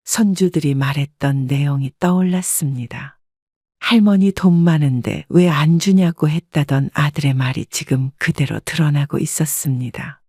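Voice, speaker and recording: Steady woman narrating a family story. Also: low voice, moderate pace, no room sound, studio quality. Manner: steady, even-paced storytelling. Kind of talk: scripted first-person story narration.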